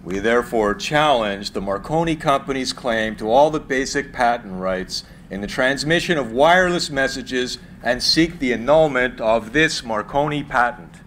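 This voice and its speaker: Man reading formal lawyer, measured delivery, faint hiss. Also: mid-range voice, animated, fairly bright tone, close to an everyday voice. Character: formal male courtroom lawyer, methodical. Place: gallery room with seated audience.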